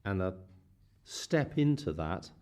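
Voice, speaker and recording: A man with a low-pitched voice teaches a guided meditation. He speaks in short, slow phrases and leaves generous pauses between them, giving each instruction room before the next. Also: sing-song, darkish tone.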